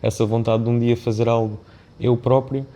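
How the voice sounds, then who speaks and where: A man with a European Portuguese accent talks in a relaxed, mellow voice at a medium pitch. He is a podcast guest, thinking aloud in a reflective, winding way and often adding small tag questions to check he is followed. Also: moderate pace. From born in Mozambique, of Indian family background. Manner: discursive, often checks with "não é?". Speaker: podcast guest, business founder and CEO. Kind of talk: reflective conversational interview.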